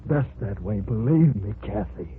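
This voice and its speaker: A man's voice: on the low side, animated, unhurried. An actor playing a wounded, feverish man in hiding in a 1940s radio drama, answering curtly and wearily. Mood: weary. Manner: curt, weary, evasive. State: feverish, weak. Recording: tinny, noisy old radio recording.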